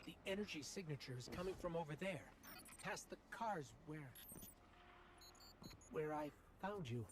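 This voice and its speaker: Male actor, small devoted machine companion, slightly high pitch, earnest, solemn, game dialogue, clear background noise. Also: animated, moderate pace, lightly acted. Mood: awed. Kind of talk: dramatic video game dialogue.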